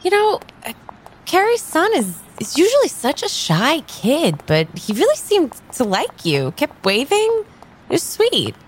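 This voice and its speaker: A woman playing a casual, friendly young tarot reader in an improvised audio drama, talking in a halting, conversational way. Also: high voice, huge pitch swings, slight background noise, heavily acted. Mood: friendly, curious.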